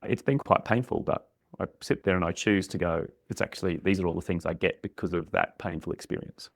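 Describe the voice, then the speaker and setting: Man, very deep voice, relaxed, plain-spoken, interview guest. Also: a little fast, creaky, no echo, studio quality. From Queensland, Australia. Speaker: podcast guest. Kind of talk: casual interview answers.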